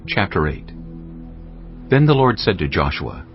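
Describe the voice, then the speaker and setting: A man narrating a dramatized Bible as scripted storytelling, measured and dramatic. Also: deep voice, big pitch swings, muffled recording, soft background music.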